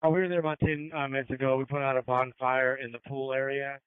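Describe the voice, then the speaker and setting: A man's voice: mid-range voice, little pitch movement, fast, clear, resonant tone, no room sound. A man working as an emergency responder, giving a field report over a radio scanner channel. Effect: radio transmission. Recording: tinny narrow-band radio scanner.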